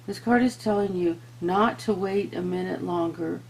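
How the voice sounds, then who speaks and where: A woman with a lowish, creaky voice gives a tarot reading. She speaks earnestly and directly to her viewers, mixing read-out passages with informal asides. A faint hum and noise sit behind her. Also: moderate pace, a little mellow, low-quality recording. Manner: earnest, talks to viewers and to spirit. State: voice giving out, throat-clearing. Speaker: tarot reader and host.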